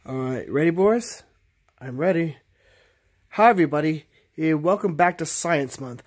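Halting plush-toy show host played by a man. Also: on the high side, breathy edge. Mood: chatty, halting. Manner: halting, stammering delivery. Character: plush toy characters chatting and hosting reaction show. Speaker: host of puppet reaction show. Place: bedroom.

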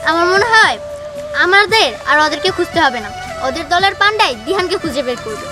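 An actress plays a watchful policewoman in an amateur crime drama. Her voice is extremely high and quick, with music behind it. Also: animated. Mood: earnest. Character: watchful female CID officer, team colleague. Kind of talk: amateur Bengali short-film acting.

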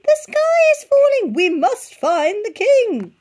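A woman's voice: very high voice, big pitch swings, slow, darkish tone, voice pushed up, exaggerated voice. A woman reading a storybook aloud and voicing a panicky little male chick who squeals his lines breathlessly. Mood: panicked.

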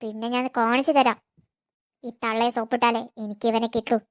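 A woman's voice: fairly high pitch, little pitch movement, fairly quick, close to an everyday voice. A woman voicing a spoiled young woman muttering sly, plotting asides. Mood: scheming.

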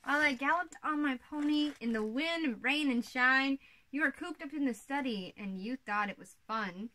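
An exuberant little-sister princess, acted out by a young woman reads a children's storybook. The voice sits high and rings bright. The delivery is playful, bubbly and theatrical. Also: normal speed. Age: young adult. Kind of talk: storybook read-aloud for children.

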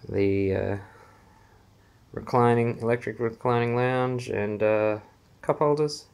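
A man gives a casual, halting walkthrough of his small basement home theater, filming on a handheld phone as he walks. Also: medium pitch, steady tone, creaky, darkish tone, clear, resonant tone. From Australia. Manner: unhurried, halting with many fillers. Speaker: home theater owner giving a room tour. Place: small basement room, indoors. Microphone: handheld phone camera, filming while walking.

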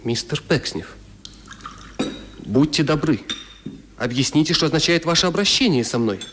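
A hot-headed young gentleman in a 1970s radio play. His voice is high, sharp and animated, with formal, insistent diction, over faint recording noise. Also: normal speed.

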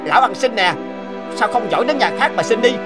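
A big, gruff cartoon servant who grumbles with scorn. His voice is pitched high and swoops about over clear music. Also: animated, fast. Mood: scolding. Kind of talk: cartoon dubbing.

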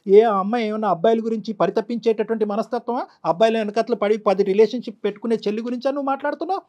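A middle-aged man counselling emotionally, emphatic and forceful, often repeating his phrases. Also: sits high, racing. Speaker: counsellor doctor on the show. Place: studio.

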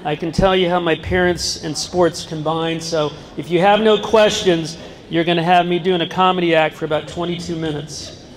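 A man's voice: fairly high pitch, steady tone, normal speed, a little hiss or hum. A middle-aged man giving an earnest talk to students, holding a microphone in a large school gym, sometimes breaking off and restarting and joking with his listeners. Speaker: guest speaker. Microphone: handheld microphone. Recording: long reverb in a large hall. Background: seated student audience.

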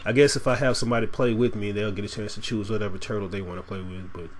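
A man's voice: lowish voice, steady tone, quick pace. A man with a cold giving loose, joking gameplay commentary, swearing now and then. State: has a cold, sniffly. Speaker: let's play gamer. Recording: voice over game audio with music.

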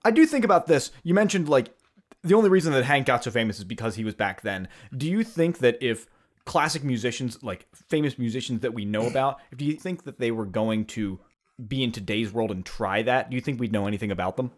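A man co-hosting a podcast in casual chat. He talks fast at a middle pitch, with a joking, teasing way about him. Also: animated. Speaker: podcast co-host.

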